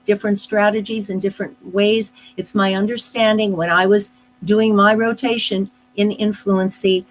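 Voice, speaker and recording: A middle-aged woman, a speech pathologist, answering interview questions in a thoughtful, unhurried way, with many pauses and restarts. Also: medium pitch, fairly even intonation, low-quality recording. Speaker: speech-language pathologist guest. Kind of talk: live online interview, explaining casually.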